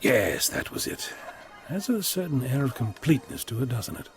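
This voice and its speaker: A man's voice: mid-range voice, sing-song, normal speed, soft background music. A man playing a wry, unflappable adventurer held prisoner in an audio drama, musing and whimsical. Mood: wry, curious.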